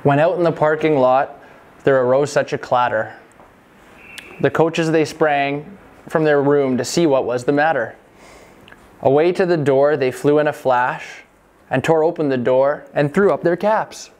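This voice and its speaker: A man reading a scripted rhyming holiday poem in measured storytelling phrases. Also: a little high, a little mellow. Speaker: reader of a Christmas poem parody. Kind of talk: scripted verse narration.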